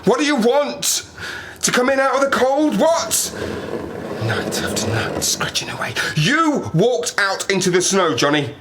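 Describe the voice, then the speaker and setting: Over a clearly noisy ambience comes a man acting as a stranded polar survivor in an audio drama. He speaks slowly and haltingly, rambling with dark wit and haunted agitation, his pitch swinging widely. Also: wildly swooping pitch, full character voice. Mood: anguished, defiant. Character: stranded Arctic survivor, haunted, sardonic man unravelling with fear. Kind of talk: dramatic audio play monologue.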